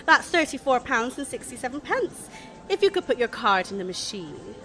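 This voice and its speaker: A woman's voice: on the high side, very animated, normal speed, bright, forceful tone, full character voice. An actress playing an eager, chatty young female shop assistant in an amateur radio comedy. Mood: chirpy, busy. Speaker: actor in amateur radio play.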